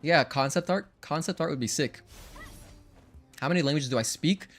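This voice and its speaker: A man's voice: on the high side, normal speed, soft background music. A young man whose first language is Spanish, chatting casually to viewers while he plays a game. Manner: chatty, thinks out loud, counts combo hits. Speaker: streamer. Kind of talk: casual gameplay commentary.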